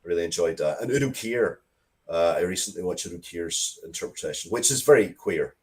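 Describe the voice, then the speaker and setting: A man speaking casually as an interview guest, thoughtful and halting, often repeating words as he works out his point. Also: deep voice, lively intonation, darkish tone. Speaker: interview guest, writer of Dracula comics and media.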